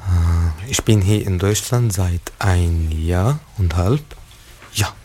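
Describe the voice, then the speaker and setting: A man in his thirties from Syria, answering interview questions in hesitant, halting German. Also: low voice, expressive, mellow, a little hiss or hum. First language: Arabic. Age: 30s. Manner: slow, hesitant, searching for words. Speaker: interviewee, musician.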